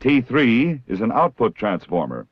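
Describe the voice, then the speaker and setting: An American man reading scripted instructional narration in a measured, formal, didactic way, like a 1960s military training film. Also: mid-range voice, sing-song, husky, no echo. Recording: dull, lo-fi 1960s film soundtrack.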